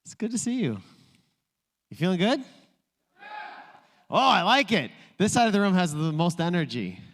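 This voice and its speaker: A man's voice: high-pitched, fairly bright tone. A male pastor preaching a sermon in a playful, joking storytelling way. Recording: long reverb in a large room.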